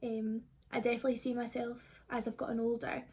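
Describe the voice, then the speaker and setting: A young Scottish woman of twenty talks to camera like a vlogger. Her voice is fairly high and mellow, with little rise and fall in pitch. She rambles informally and casually, as if chatting with friends. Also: little pitch movement, a little fast, slightly rough. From Scotland. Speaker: vlogger answering viewer questions.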